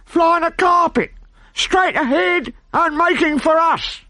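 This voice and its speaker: A man voicing a terrified pirate lookout who stammers and shouts down from the crow's nest. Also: slow, no room sound, exaggerated voice. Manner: shrill, shouting down from afar.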